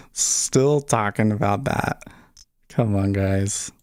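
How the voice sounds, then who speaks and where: A man's voice: lowish voice, a little mellow, smooth, dry recording, studio quality. A man hosting a livestream as a day trader, thinking aloud in casual, conversational talk and often checking in with his listeners.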